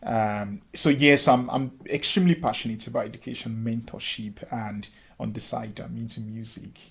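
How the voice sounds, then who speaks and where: Give me an earnest Nigerian man in his thirties, with a slightly husky voice. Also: medium pitch, fairly even intonation, normal speed. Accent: Nigerian with a light Scottish touch. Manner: earnest, many ums and restarts. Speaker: interviewee, lecturer and former councillor. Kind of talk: reflective interview answers.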